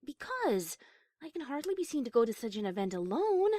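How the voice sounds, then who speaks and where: A woman playing a prim, high-class pony cellist with proper, formal manners in an audio story. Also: sing-song, fairly quick, warm tone. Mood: flustered, fond. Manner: posh, proper, formal wording. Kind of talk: character acting in audio story reading.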